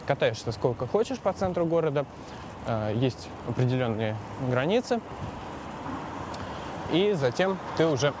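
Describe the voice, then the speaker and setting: A man's voice: medium pitch, very animated, normal speed, long pauses. A man hosting a podcast, talking in a rambling, hesitant way as he rides a bicycle through city streets. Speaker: podcast host. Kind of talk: casual monologue while cycling outdoors. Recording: loud street traffic noise. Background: traffic and city sounds.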